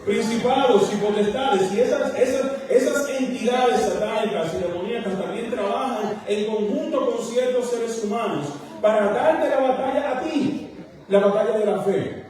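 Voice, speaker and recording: A man with a Dominican accent preaching a church sermon as a pastor, halting and correcting himself, then pressing his points. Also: very high-pitched, a little mellow. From Dominican Republic, partly raised in Queens, New York. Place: church sanctuary, speaking to congregation. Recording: very echoey large hall, distant microphone.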